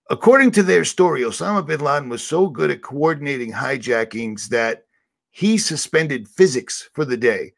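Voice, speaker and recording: A man with a raspy voice, ranting sarcastically and rambling on a livestream as the channel host. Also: on the high side. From Boston area. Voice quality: raspy, gravelly.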